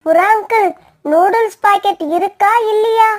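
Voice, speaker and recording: A woman's voice: very high voice, moderate pace. A woman voicing a whiny, pleading young girl sparrow in a children's cartoon. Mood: eager.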